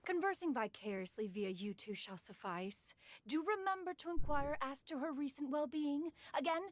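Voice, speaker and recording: A woman's voice: high voice, animated, no echo. A woman voicing a formal, aloof immortal lady in a video game who speaks of herself in a grand, old-fashioned way. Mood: aloof, solemn. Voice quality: archaic formal speech, refers to herself as "one". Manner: measured and grand, flustered when caught out.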